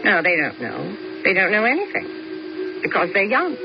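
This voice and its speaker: A woman's voice: mid-range voice, wildly swooping pitch, measured pace, creaky, full character voice. An actress playing a cool, sardonic older woman who talks in a dry, ironic drawl, in a 1970s radio drama. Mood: bored. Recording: dull old radio broadcast with hiss.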